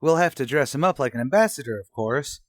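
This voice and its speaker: An actor playing a wisecracking, scheming and self-important tavern manager in an old-time radio comedy, talking in folksy slang. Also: male voice, medium pitch, animated, fast talker, no room sound, clean studio sound, natural voice. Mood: bossy, mock-pompous.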